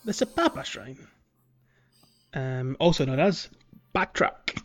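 A man chatting casually as a podcast co-host, thinking aloud in a halting way. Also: mid-range voice, expressive, fairly bright tone. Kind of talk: casual chat.

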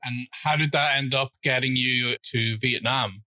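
A man's voice: medium pitch, a little slow, pushed and bright, dry recording, poor audio. A man hosting a podcast who welcomes listeners, introduces his guest and asks friendly, open questions about his life. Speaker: podcast host. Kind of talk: podcast interview.

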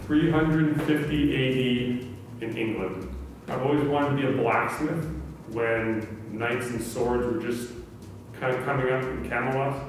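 A man's voice: mid-range voice, unhurried, a little hiss or hum. A man answering a question in casual, reflective chat. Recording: very echoey room, distant mic, music behind.

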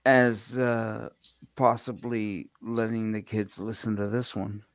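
A man co-hosts a show in loose, unscripted chat. He talks slowly and a little hesitantly, in a very dry, close sound. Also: lowish voice, level tone, no echo. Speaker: radio show co-host.

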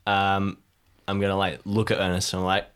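Male player, fairly low voice, casual improvised role-play, feeling out his moves aloud. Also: completely flat delivery, a little fast, a bit bright, clean tone. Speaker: player in a role-playing game.

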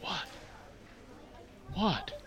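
A man's voice: very slow, fairly bright tone, some chatter behind. An older man acting a naive, bashful, lovestruck little schoolboy in a radio play, hesitant and sheepish. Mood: mortified.

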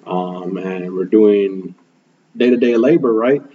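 A young man from Milwaukee whose first language is Spanish answers interview questions in an earnest, reflective way, often pausing on "um" and checking in with "right?". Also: on the low side, narrow, tinny audio. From Milwaukee, Wisconsin, USA. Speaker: guest, school program manager.

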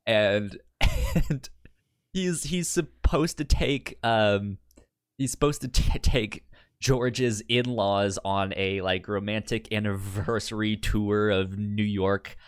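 A man with a stutter chatting casually as a podcast co-host, explaining things haltingly with repeated sounds and restarts. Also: on the low side, clean tone, no room sound, clean studio sound. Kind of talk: casual chat recapping sitcom episodes.

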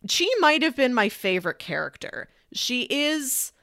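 A woman's voice: high voice, big pitch swings, unhurried, sharp tone, no echo, studio quality. A woman in a casual podcast review chat, tossing in asides and imagined quotes. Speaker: podcast host reviewing anime.

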